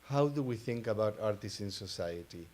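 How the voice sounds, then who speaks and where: A man with an Argentine accent talks informally as a panelist. His voice sits a bit low, and he speaks hesitantly, with many pauses. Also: expressive, a little mellow. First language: Spanish. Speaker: panelist, art advisor. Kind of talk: informal panel talk, personal introduction.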